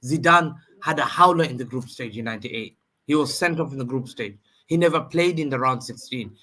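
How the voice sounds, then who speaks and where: A man's voice: mid-range voice, brisk, bright, forceful tone. A man, probably in his thirties, arguing emphatically as a guest in a heated football debate on a livestream, often repeating phrases for emphasis.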